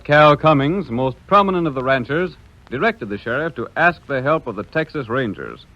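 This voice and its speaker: A man's voice: medium pitch, fairly bright tone, lightly acted. A man acting as the grand, dramatic narrator of a Western adventure serial in 1930s announcer style. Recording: dull old radio, music behind.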